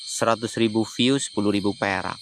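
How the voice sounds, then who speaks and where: Low-voiced Javanese man chatting on a night stream. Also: steady tone, fairly quick, fairly bright tone, slight background noise. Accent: Javanese (Banyumas). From Banyumas, Central Java. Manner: chatty, answers viewer comments. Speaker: livestreaming explorer. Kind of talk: casual livestream chat.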